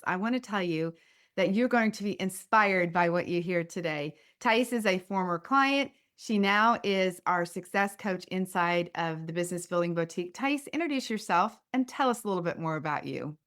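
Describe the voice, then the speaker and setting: A woman's voice: on the low side, animated, moderate pace, a bit bright. A woman hosting a business-coaching podcast, interviewing a guest with an enthusiastic, effusive manner.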